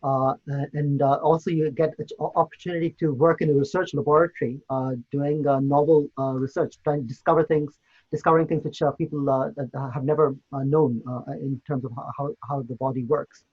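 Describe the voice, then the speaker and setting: Middle-aged guy tutor, lots of uhs, kinda husky, slightly high voice, dull call audio. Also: steady tone, brisk. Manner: hesitant, many fillers and restarts. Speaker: medical tutor and researcher. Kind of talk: virtual open day presentation.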